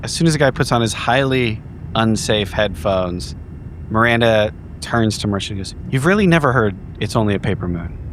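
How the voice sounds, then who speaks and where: Low-voiced man roleplaying at a game table. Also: lively intonation, normal speed, a bit bright, slight background noise. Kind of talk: improvised in-character roleplay and table chat.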